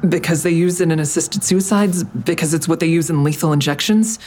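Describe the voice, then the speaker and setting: An actress playing an anxious but persistent detective questioning a villain in an audio drama. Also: female voice, sits low, a little fast, a little mellow. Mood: tense, probing.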